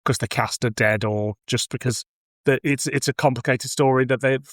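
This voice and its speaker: A man's voice: medium pitch, steady tone, normal speed, no room sound, studio quality. A man co-hosting a podcast, giving casual opinions on audio drama in a blunt, informal, sweary manner. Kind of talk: casual review discussion.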